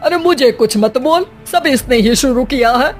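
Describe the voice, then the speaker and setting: A woman acts out an older mother-in-law in an animated story, bossy and full of complaint. The voice sits fairly high, with music playing underneath. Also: normal speed. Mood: complaining. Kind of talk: animated cartoon story dialogue.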